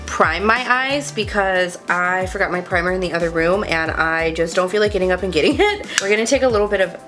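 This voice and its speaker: Chatty, congested young woman, low voice. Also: expressive, brisk, a bit bright, soft background music. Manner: chatty, confiding, self-deprecating. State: congested, sniffly. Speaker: beauty vlogger. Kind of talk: casual makeup tutorial chat.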